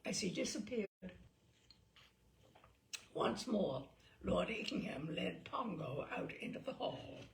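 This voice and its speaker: A woman narrates a comic tale from a script. Her pitch is middling and moves around a great deal, with a gravelly creak in the voice. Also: big pitch swings, slow, long pauses, a little hiss or hum, poor audio. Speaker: story reader.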